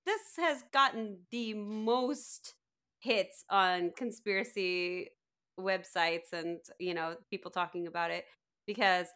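Woman podcast host, mid-pitched, bright forceful tone, casual conversational delivery. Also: slow pace, smooth. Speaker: podcast host guiding the discussion. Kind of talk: casual discussion.

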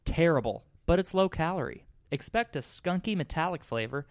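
A man's voice: on the high side, expressive, normal speed, no room sound. Teasing male podcast host, dry close sound. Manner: playful, teasing. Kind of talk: casual chat, reading reviews aloud.

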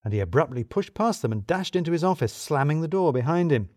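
A man narrating a scripted first-person story in a wry, expressive manner. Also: on the high side, fast, dry recording, clean studio sound.

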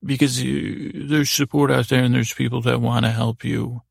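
A man hosting a bedtime podcast in a lulling, soothing, creaky voice, meandering and trailing off mid-thought. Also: mid-range voice, flat delivery, moderate pace, no room sound, clean studio sound. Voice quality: very creaky, gravelly. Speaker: podcast host. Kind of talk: rambling bedtime-story podcast monologue.

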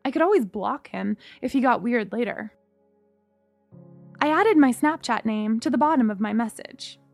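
Wry young woman narrating, higher voice. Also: lively intonation, moderate pace, vocal fry, no echo, faint music behind. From Singapore. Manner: wry, candid storytelling. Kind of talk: first-person memoir narration.

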